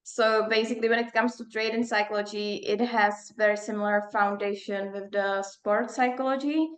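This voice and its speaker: A woman's voice: medium pitch, steady tone, normal speed, roomy sound. A young woman from the Czech Republic with a Czech accent, talking casually and discursively as a coach being interviewed. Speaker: performance coach and psychologist.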